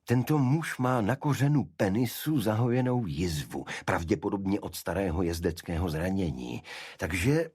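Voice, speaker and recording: A discreet gentleman army doctor, acted by a male narrator in a detective audiobook. His voice is low and a little husky, and he chooses his words formally, with careful, slightly awkward delicacy. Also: lively intonation, no echo, clean studio sound. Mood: careful, helpful. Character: reserved military doctor, precise, discreet, slightly embarrassed gentleman. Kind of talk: audiobook character dialogue.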